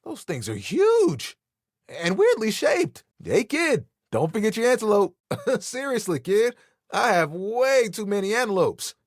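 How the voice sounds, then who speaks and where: A man's voice: high voice, huge pitch swings, unhurried, no echo, studio quality, full character voice. A man voicing a flirty, laid-back living towel in a video game, playful and heartfelt. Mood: delighted. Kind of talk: video game character acting.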